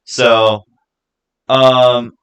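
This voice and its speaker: A man hosting a livestream in casual chat, speaking haltingly and putting rhetorical questions to his viewers. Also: mid-range voice, level tone, fairly bright tone, clear, resonant tone. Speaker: livestream host.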